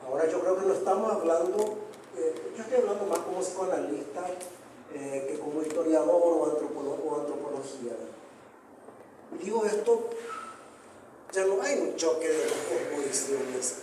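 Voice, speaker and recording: A man's voice: a little high, moderate pace, dark tone, a little hiss or hum. A man speaking as a psychoanalyst on a panel, earnest and declamatory, asking rhetorical questions. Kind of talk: panel discussion remarks. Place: large hall, book presentation. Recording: echoey hall from far off.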